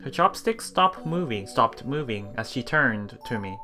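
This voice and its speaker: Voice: medium pitch, expressive, unhurried, pushed and bright. A let's play streamer reading a visual novel aloud, stumbling and repeating phrases, with murmured asides. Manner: rereads lines after stumbles, murmured reactions between read passages. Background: game music.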